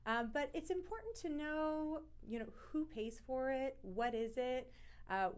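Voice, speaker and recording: A woman's voice: high-pitched, animated, a little slow, clean tone. A woman hosting a real estate interview show, talking in an enthusiastic, effusive way.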